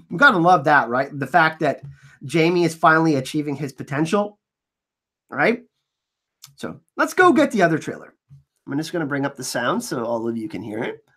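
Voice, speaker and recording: Male fan host, casual trailer breakdown, a bit scratchy, somewhat high and very lively pitch. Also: normal speed, long pauses. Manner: excitable, enthusiastic fan. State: slightly scratchy from allergies.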